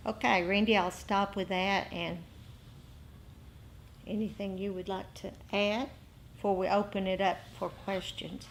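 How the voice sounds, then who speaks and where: A woman giving an informal dharma lesson to a meditation group, pausing between short phrases. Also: medium pitch, flat delivery, a little hiss or hum. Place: indoor group meeting.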